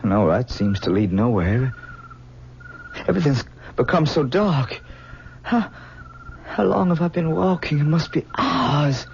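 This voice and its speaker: An actor playing a frightened, haunted young man in a 1970s radio drama, stammering and halting. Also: a little high, expressive, creaky, a little mellow. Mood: weary, frightened. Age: young adult. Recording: dull old radio recording with hiss.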